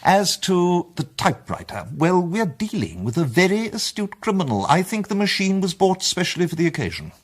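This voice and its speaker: An actor with an English accent playing an urbane, self-assured gentleman detective, polished and theatrical in a radio drama. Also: sits high, sing-song, normal speed, few pauses. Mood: confident, enthusiastic. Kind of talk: British radio drama acting.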